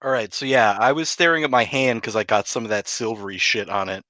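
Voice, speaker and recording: A man's voice: medium pitch, brisk, pushed and bright, dry recording. A man talking casually as a player in a tabletop game, dry and matter-of-fact.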